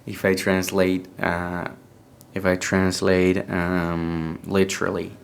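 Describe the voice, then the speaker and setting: A young man from Iran with an Iranian accent, speaking English as a second language with a native Persian background, telling a personal story in a casual, halting way full of restarts. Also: low-pitched, monotone, creaky. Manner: halting, hesitant English with many restarts and fillers. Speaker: podcast speaker.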